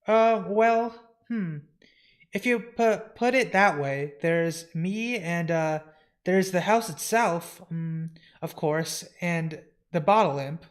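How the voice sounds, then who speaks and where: A comic reader voicing a timid, fretful keeper of a haunted house, with a halting, nervous stammer. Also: medium pitch, close to an everyday voice. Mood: nervous, flustered.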